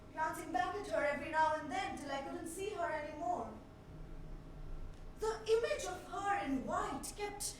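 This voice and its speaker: High-pitched, haunted woman played on stage by an actress. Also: exaggerated voice. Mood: uneasy. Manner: slow, deliberate, with dramatic pauses and repetition. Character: haunted, unhinged woman recalling her mother's death. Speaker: solo stage actor. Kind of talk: theatrical dramatic monologue. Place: indoor theatre stage. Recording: echoey theatre stage, distant mic, noisy.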